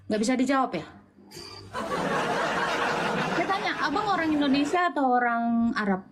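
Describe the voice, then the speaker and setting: A woman's voice: high voice, expressive, moderate pace, over a noisy crowd, heavy background noise. A woman in a heated online debate, mocking and insistent, repeating her questions in slangy, informal speech.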